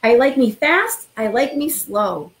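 A middle-aged woman with a somewhat high-pitched voice speaks as an elementary school principal. She addresses children directly and encouragingly, in the informal style of a picture book read-aloud. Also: animated, a little slow.

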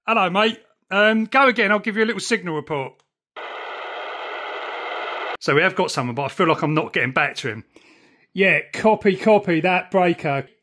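Middle-aged man, southern English, slightly high-pitched voice, informal and chatty hobbyist vlogger. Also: lively intonation, normal speed, pauses often, pushed and bright. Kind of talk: casual vlog chat and radio calls. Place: house and back garden.

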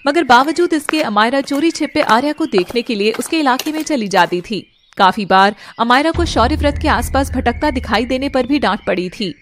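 A woman's voice: high-pitched, expressive, moderate pace, slight background noise. A woman giving dramatic scripted narration of a fantasy tale for a cartoon. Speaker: storyteller.

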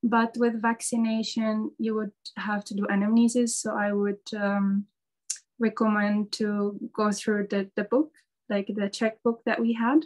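A woman's voice: mid-range voice, flat delivery, unhurried, a little mellow, rough recording. Hesitant woman speaking English as a second language. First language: not English. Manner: hesitant, repeats words when restarting. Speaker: medical student speaker. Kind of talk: casual presentation over video call. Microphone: video call.

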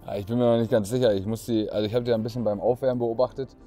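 A man's voice: mid-range voice, fairly even intonation, racing, dark tone, faint music behind. A man speaking as a panelist in an indoor football hall, hesitant with many fillers, on a lapel mic. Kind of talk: casual panel chat.

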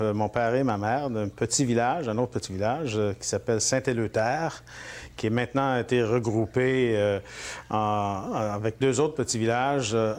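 A Franco-Ontarian man in his forties answers questions in his own living room. He talks at an ordinary pitch and keeps stopping to restart his phrases, with plenty of fillers along the way. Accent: Franco-Ontarian French. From Northern Ontario, Canada. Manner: hesitant, many fillers and restarts. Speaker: interview guest, politician. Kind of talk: relaxed interview conversation.

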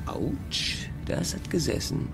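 An actor playing a flippant, easygoing joker with a casual, slangy way of talking in a crime audio drama. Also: male voice, slow, music in the background, natural voice. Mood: teasing.

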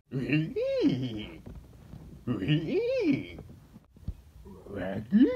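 A goofy, worried talking cartoon dog, with wildly swooping pitch and a mellow but raspy tone. The words come very slowly, in short, slurred, doggy exclamations. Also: muffled recording, full character voice. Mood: cheerful. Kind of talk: cartoon voice lines.

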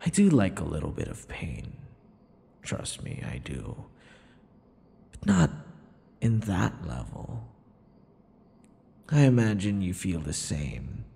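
A man's voice: sits low, huge pitch swings, slow pace, gravelly, long pauses, warm tone, full character voice. A man voicing a possessive, teasing, vain vampire captor in an intimate ASMR roleplay, theatrical and taunting, slipping between murmurs and whispers. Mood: smug, tender menace. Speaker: audio roleplay performer. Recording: long reverberant echo.

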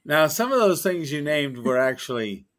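A man's voice: on the high side, big pitch swings, normal speed, fairly bright tone. A man, a guest author, chatting in an interview and telling wry, joking stories with many restarts. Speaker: guest, science fiction author. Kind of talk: casual interview chat.

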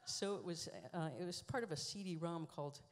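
A woman telling dry, musing stories with deliberate pauses, performing a spoken-word monologue into a stand microphone on a large theater stage. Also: on the low side. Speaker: performance artist reading her book on stage. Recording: live hall reverb.

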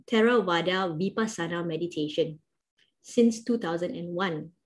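A woman's voice: mid-range voice, a little mellow. A young adult woman hosting an online event, reading a formal introduction of the speaker steadily over a headset on a video call. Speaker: event host.

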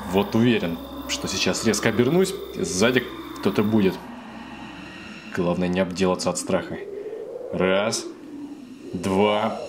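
A man's voice: on the low side, noisy background, natural voice. A man voicing a cocky, nervous young rookie stalker in a cartoon, slangy, streetwise and sarcastic. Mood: uneasy, muttering. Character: cocky, wisecracking male rookie stalker, nervous but cheeky. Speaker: voice actor. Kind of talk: cartoon dub acting.

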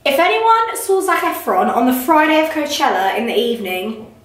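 Woman in her twenties, slightly high, chatty makeup vlogger, roomy sound from a step away from the mic. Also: big pitch swings, normal speed. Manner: chatty, upbeat, talking while doing makeup. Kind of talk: casual beauty vlog. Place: small indoor room at a mirror. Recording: roomy, a bit distant.

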